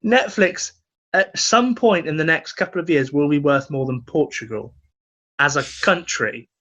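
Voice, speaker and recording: A man's voice: fairly high pitch, unhurried, pushed and bright, lo-fi sound. A man co-hosting a comedy podcast, chatting casually and emphatically about his opinions, repeating words for effect.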